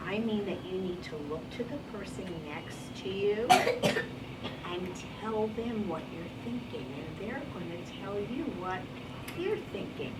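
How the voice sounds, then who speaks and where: A woman's voice: medium pitch, lively intonation, a little slow. A woman teacher reading a picture book aloud to young children in a classroom, encouraging and instructive as she talks to them. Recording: distant mic, very noisy classroom.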